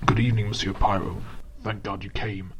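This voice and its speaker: A man's voice: lowish voice, little pitch movement, brisk, background noise, natural voice. An actor playing a melodramatic hard-boiled London everyman in a noir-parody radio play. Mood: pleading. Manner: dramatic, brooding.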